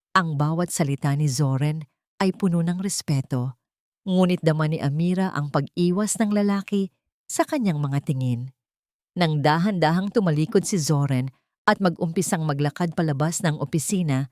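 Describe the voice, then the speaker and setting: A woman narrating a scripted romantic drama in a measured, solemn, sentimental storytelling manner. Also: deep voice, a little mellow, dry recording, studio quality. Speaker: narrator of audio drama stories.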